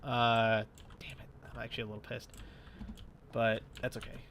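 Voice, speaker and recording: A man's voice: mid-range voice, level tone, moderate pace, fairly bright tone, a little hiss or hum. A man with a slightly stuffy nose doing casual, sweary let's play commentary, thinking aloud as he goes. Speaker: gaming YouTuber. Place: his room at home.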